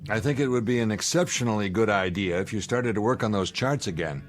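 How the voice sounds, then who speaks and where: Actor for sarcastic male American space commander, radio play: lowish voice, needling, short on patience. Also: fairly quick, faint music behind, lightly acted. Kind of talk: radio drama acting.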